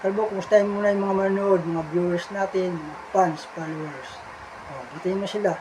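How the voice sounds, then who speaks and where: A man's voice: high-pitched, fairly even intonation, fairly quick, background noise. A man casually hosting a puppet show, addressing the audience in a friendly, repetitive way. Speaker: puppeteer host.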